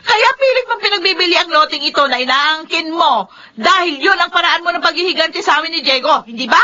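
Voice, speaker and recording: Scornful, quick-tempered Filipino mother for radio drama: high, forceful voice, confrontational. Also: expressive, exaggerated voice. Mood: bitter, accusing. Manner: emotional, confrontational delivery. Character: bitter, combative mother, scornful and quick to fury. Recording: dull lo-fi radio drama audio.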